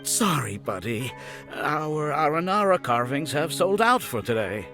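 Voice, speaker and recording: A man voicing a friendly, eager-to-please shopkeeper in a video game, courteous and expressive. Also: a little high, unhurried, heavily acted. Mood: kindly, reassuring. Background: music.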